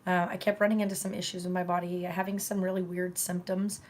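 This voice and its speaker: A woman hosting her own live stream, chatting casually with viewers, her voice hoarse from a recent illness, halting with many fillers and throat-clearing. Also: lowish voice, little pitch movement, a little hiss or hum. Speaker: channel host streaming live.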